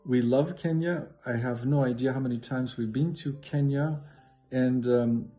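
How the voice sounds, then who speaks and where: A man's voice: mid-range voice, normal speed, mellow, faint music behind. A middle-aged man from Canada, a professor and lay preacher, giving an enthusiastic greeting and Bible teaching with frequent restarts.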